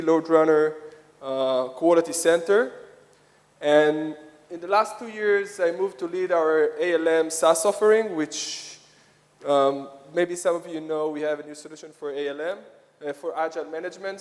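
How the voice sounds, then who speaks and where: Long hall reverb surrounds a man with an Israeli accent and a somewhat high-set voice. He is presenting to a conference audience. His delivery is halting, with many hesitations and phrases begun again. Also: darkish tone. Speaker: conference speaker, software product lead.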